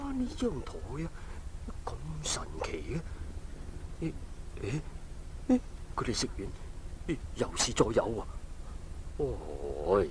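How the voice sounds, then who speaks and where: An actor with a Hong Kong accent playing a bumbling, greedy innkeeper who stammers, in a children's audio drama. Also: male voice, lowish voice. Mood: astonished, covetous. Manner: flustered, stammering, repeats filler words. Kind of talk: 1980s audio drama acting for children's storybook. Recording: noisy old 1980s cassette tape.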